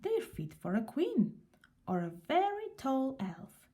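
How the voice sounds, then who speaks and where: A woman voicing a tiny, busy, self-pleased elf from a children's storybook, in a proud, expressive manner. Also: high-pitched, takes it slowly, voice pushed up, full character voice.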